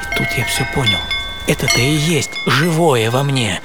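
A man playing a middle-aged, introspective psychiatrist in a dramatised first-person radio monologue, theatrical and reflective, with deliberate pauses. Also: medium pitch, lightly acted. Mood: wistful, excited. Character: middle-aged male Swiss psychiatrist recalling a troubling dream, introspective. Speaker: author and presenter of a radio programme. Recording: radio production over loud music.

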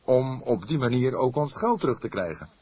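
Man voicing an excited, high-pitched anxious young man. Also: normal speed, husky, no echo. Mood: earnest, calmer. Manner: breathless, insistent, earnest.

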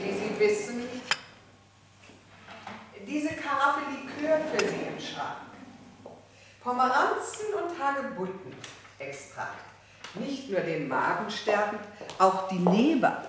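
A woman's voice: medium pitch, distant mic. An actress playing a controlling lady of the house with sly politeness and a mannered, insistent delivery in a German radio play. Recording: very echoey room, faint noise.

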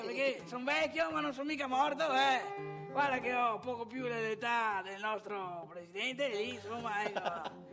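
A woman's voice: a little high, vocal fry. A woman playing a boastful, nostalgic old man in a theatrical comic monologue, rambling and emphatic. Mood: cocky, defiant. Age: older. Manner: rambling, emphatic, addresses listener with rhetorical questions.

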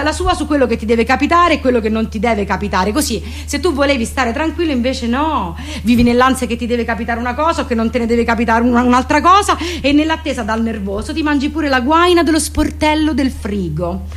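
Woman, kinda high voice, explains nonsense deadpan like a lesson, comedy monologue. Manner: mock-didactic, deadpan, colloquial. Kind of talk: comic monologue, mock explainer.

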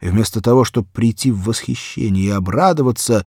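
A man's voice: on the low side, a little fast, mellow, breathy edge, dry recording, clean studio sound. A man reading a children's story aloud as an audiobook narrator, in a dramatic, theatrical storytelling manner.

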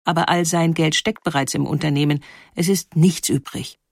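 A woman narrating a scripted historical documentary in a measured, clearly phrased storytelling manner. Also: low-pitched, steady tone, dry recording, clean studio sound. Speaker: narrator of a historical audio feature.

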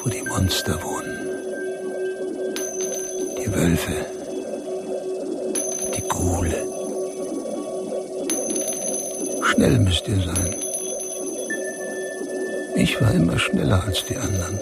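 A man's voice: deep voice, wildly swooping pitch, takes it slowly, gravelly, long pauses, exaggerated voice. An actor playing a boastful, ruthless male finance boss in a radio drama, declaiming theatrically and with menace. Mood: menacing. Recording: radio play with loud music.

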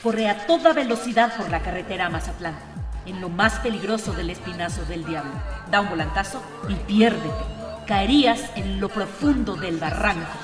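A taunting woman's voice inside someone's head played by an actress, from a radio drama. She goads and commands in a middle pitch. Strong echo surrounds her, with music underneath. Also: expressive, normal speed, natural voice. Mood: cold, goading. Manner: goading, commanding. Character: taunting, accusing inner voice of a woman. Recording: echoey hall reverb over music.

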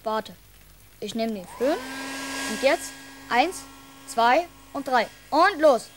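A woman's voice: on the high side, expressive, slow pace, noisy background. A woman playing a protective, slightly cheeky schoolboy in a radio play, childlike and plain-spoken. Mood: urgent, helpful.

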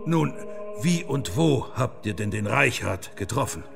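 An actor in a German radio play as a stern investigator, questioning in an insistent, pointed way. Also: male voice, mid-range voice, lively intonation, measured pace, creaky, faint music behind. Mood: probing. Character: stern, probing male investigator in historical drama.

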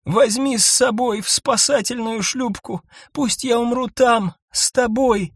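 A man's voice: very high-pitched, steady tone, unhurried, husky, dry recording, studio quality, voice pushed up, full character voice. A male narrator voicing a wounded, exhausted woman who pleads and sobs. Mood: pleading. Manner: sobbing, pleading. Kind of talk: audiobook character voice.

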